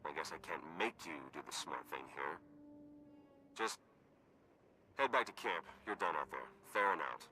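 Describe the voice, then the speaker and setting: A man's voice: very deep voice, expressive, moderate pace, pauses often, pushed and bright, full character voice. An actor playing a wry male spy and tech agent who talks in a dry, pragmatic way. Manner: dry, pragmatic, matter-of-fact.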